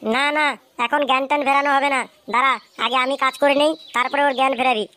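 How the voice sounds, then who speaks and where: A woman doing a high-voiced, bullying cartoon villain. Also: steady tone, fast talker, bright, forceful tone. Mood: gloating. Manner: taunting, bossy, crude. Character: bullying, gloating male villain holding a hostage. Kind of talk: comedy cartoon dialogue.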